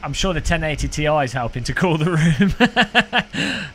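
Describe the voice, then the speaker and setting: A man's voice: a little high, big pitch swings, a little slow, bright, forceful tone. A man, chatting casually on a sim racing live stream, rambling and joking with his chat. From United Kingdom. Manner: rambling, joking, frequent restarts.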